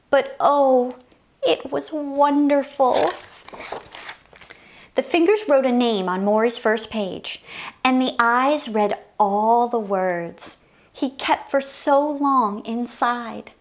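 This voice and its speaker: A woman hosting a children's story time, reading a picture book aloud in an expressive, sing-song, exclaiming way. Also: high voice, slow pace.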